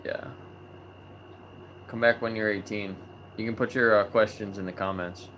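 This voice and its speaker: A man hosting a live video chat, talking in a relaxed, chatty way with many fillers. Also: lowish voice, normal speed, noisy background. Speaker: host of a live video chat about animal rights. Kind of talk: casual livestream chat.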